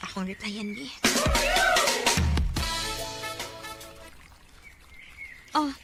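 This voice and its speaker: A woman's voice: medium pitch, a little fast, creaky, heavy background noise, close to an everyday voice. An actress playing a modest, easily surprised young single woman in a Bisaya radio drama, speaking with a Cebuano accent. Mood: puzzled. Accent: Filipino, Cebuano Bisaya.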